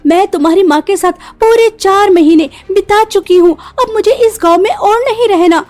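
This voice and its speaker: A snobbish young city wife from a cartoon family tale, acted out by a woman. Her voice is very high yet mellow, and she talks in an insistent, complaining way, looking down on village life. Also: normal speed. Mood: haughty complaining. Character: haughty modern city-bred daughter-in-law, young woman. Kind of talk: Hindi cartoon story voice acting.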